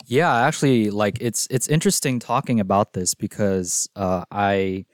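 A young man who grew up in Singapore and whose first language is Filipino, chatting as a guest in a casual podcast, talkative and relaxed, with lots of "like". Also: lowish voice, normal speed, no echo, studio quality. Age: young adult. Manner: relaxed, talkative, peppers speech with "like".

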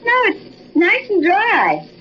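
A muffled, noisy 1940s radio recording carries an adventurous young bride. Her voice is high and swings freely in pitch. She sounds playful and defiant, in the manner of old radio acting. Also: huge pitch swings, slow, exaggerated voice. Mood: chatty. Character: spirited, adventurous young bride exploring a cave.

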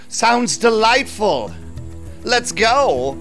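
A smug vampire club owner in an audio drama. His voice is high for a man and swoops theatrically. He takes his time, savoring his own schemes with suave calm. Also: drawn-out words, music in the background, heavily acted. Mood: pleased, commanding. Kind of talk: audio drama narration and dialogue.

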